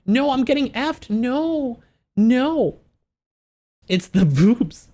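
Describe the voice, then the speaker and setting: A man livestreaming in a casual, slangy, joking way, with an animated, incredulous manner as he reacts. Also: very high voice, drawn-out words. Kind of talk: casual livestream reaction with book read-outs.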